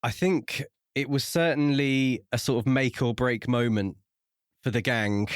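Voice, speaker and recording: A man co-hosting a book discussion podcast, speaking in measured, deliberate phrases. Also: mid-range voice, clean tone, no room sound, clean studio sound. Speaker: podcast co-host.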